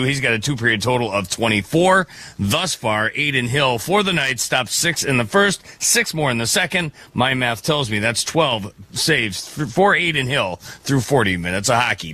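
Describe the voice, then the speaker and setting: A man's voice: mid-range voice, sharp tone. A man hosting a sports radio intermission, recapping scores and stats. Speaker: radio studio sports host. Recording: music bed under the voice. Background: music.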